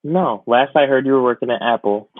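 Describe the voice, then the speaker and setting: A man's voice: medium pitch, a little fast, no echo. A man in his twenties co-hosting a podcast, chatting casually in a chummy, self-deprecating way. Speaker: podcast co-host. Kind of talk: casual chat. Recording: tinny phone-like line, dry.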